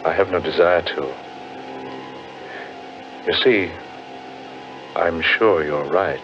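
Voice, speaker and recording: A male actor playing a cautious, level-headed gentleman with a measured, restrained manner in 1940s radio horror style. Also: low voice, music in the background. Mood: wry calm. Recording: muffled, very noisy old radio recording.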